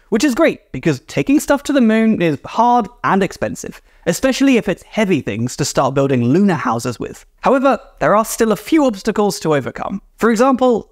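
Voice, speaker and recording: A young man hosting a science explainer, talking to camera with clear, enthusiastic delivery from a small room. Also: high-pitched, no room sound, studio quality. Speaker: science video host. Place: small indoor room with shelf of models.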